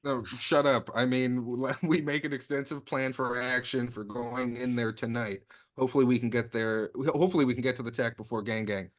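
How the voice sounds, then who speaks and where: A man's voice: mid-range voice, steady tone, fairly quick, close to an everyday voice. A man playing a brash, streetwise crew leader in a comedy table read. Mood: exasperated, scheming. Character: brash streetwise male crew leader recruiting his old gang. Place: remote video call.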